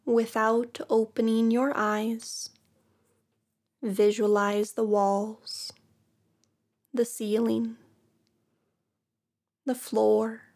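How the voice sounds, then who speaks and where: A woman teaching yoga nidra, guiding a relaxation practice in a soft, soothing way with long pauses. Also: fairly high pitch, flat delivery. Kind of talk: guided meditation.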